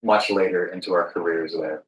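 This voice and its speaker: A man with an American accent and a fairly low voice hosts an informal podcast. He talks in a joking, self-deprecating way. The room around him sounds clearly echoey. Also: steady tone, a little fast. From Virginia, United States. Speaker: podcast host. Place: alternative studio space, indoors.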